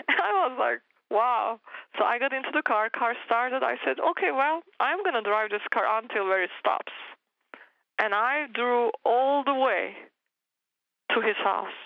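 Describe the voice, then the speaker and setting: A woman whose native language is Turkish tells her story as an interview guest, earnest and full of restarts. Her voice has a gravelly edge. The recording is dry and somewhat muffled. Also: on the high side, measured pace, no room sound. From Turkey. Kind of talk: personal testimony interview.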